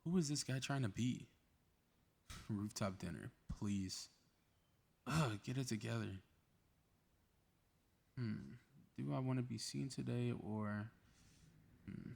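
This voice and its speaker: A man's voice: medium pitch, slow, gravelly, long pauses, darkish tone. A man playing a cocky, playful superhero in a flirty ASMR roleplay audio drama, with casual teasing banter. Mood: muttering, self-doubting.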